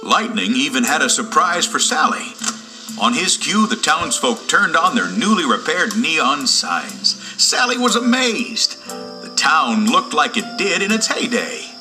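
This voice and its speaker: Prominent music plays under a man narrating a children's storybook. His voice is fairly high for a man and very lively, with dramatic, expressive phrasing. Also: a little slow. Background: loud musical score.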